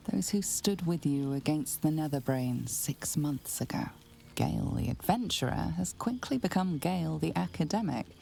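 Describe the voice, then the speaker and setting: A game narrator with a very low, strongly gravelly voice and a dark, mellow tone. She reads in a calm, measured storybook way, with faint music beneath. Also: heavily acted. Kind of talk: scripted narration.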